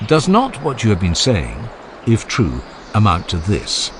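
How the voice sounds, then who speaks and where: A man's voice: lowish voice, huge pitch swings, unhurried, noisy background. A man reading the part of an earnest, genial ancient philosopher who questions relentlessly, step by step, in a dramatized audiobook dialogue. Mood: probing. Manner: rhetorical, emphatic, step-by-step questioning.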